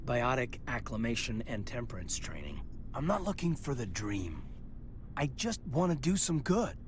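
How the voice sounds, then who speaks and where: A man's voice: mid-range voice, very animated, moderate pace, background noise, lightly acted. Man playing an earnest, reserved military officer. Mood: earnest, self-conscious. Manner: hesitant, apologetic, thoughtful. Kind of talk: video game dialogue acting.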